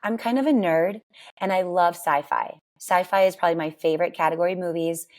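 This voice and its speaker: Raspy woman, forties, relaxed podcast guest, low-ish voice, chatty storyteller. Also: brisk. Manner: chatty, laughs often, lively storytelling. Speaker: guest, worship singer. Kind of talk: casual interview chat.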